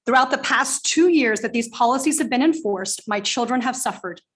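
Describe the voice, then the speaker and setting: Loud, firm woman at a podium mic. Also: fairly high pitch, expressive, moderate pace. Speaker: parent giving public comment. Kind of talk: reading a prepared public statement. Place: school board meeting room. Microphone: podium microphone.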